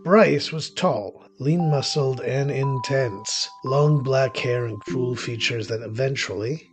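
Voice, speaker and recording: A man playing a bitter, self-deprecating male witch, narrating his horror story with wry, theatrical emphasis. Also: medium pitch. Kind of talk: first-person horror audio drama narration.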